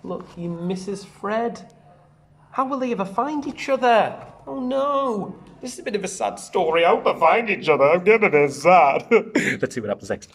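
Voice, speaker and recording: A man, a primary teacher, reading a storybook aloud in an expressive sing-song way with chatty asides. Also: high-pitched, moderate pace. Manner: animated, sing-song rhyming read-aloud.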